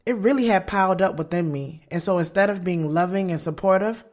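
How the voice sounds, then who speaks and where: A woman's voice: lowish voice, a little fast, a little mellow, lightly acted. An actress with an American accent playing a blunt, sarcastic young woman writer, narrating her love life in a slangy, conversational audio drama style. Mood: reflective. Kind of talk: first-person scripted narration in an audio drama. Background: music under the voice.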